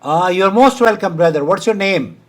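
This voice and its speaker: High-voiced Indian man answering a caller. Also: lively intonation, moderate pace. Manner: firm, dismissive of hearsay, with short laughs. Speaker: host answering a caller's religious question. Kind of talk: phone-in Q&A.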